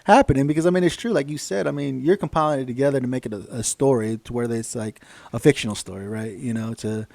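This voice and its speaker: Male host, American, quick delivery, dry sound. Informal, slangy and profane, doubles back on phrases. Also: medium pitch, gravelly, darkish tone, no room sound. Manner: repeats phrases, profane slang, effusive agreement. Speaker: podcast host, military veteran. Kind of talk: casual podcast chat.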